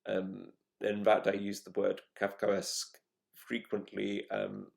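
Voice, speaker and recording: A man hosting his own show, telling a travel story in a measured, halting way as he searches for words. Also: on the low side, monotone, gravelly, darkish tone. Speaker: podcast host. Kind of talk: solo travel monologue.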